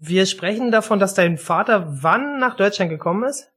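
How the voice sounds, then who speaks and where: A man with a fairly high voice acting as interviewer in a relaxed conversation. He leads up to his questions in loose, everyday speech. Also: normal speed, slightly rough. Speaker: interviewer, podcast host.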